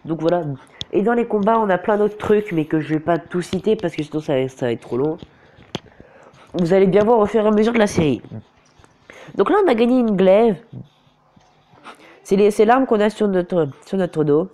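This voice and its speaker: A man narrates a mobile game while nursing a cold and a sore throat. His tone is mellow and relaxed, and he keeps halting mid-phrase to hunt for the right word before pressing on. It is loose, casual commentary recorded on a phone. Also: sits high. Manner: hesitant, often restarts and corrects himself. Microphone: phone screen recorder.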